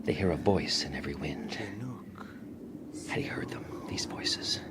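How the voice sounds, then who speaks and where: An actor playing a haunted, obsessed man who fears the living wind, brooding and halting in a dramatic radio monologue, often dropping into whispers. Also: very deep voice, lively intonation. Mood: searching, hushed. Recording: very noisy radio drama recording.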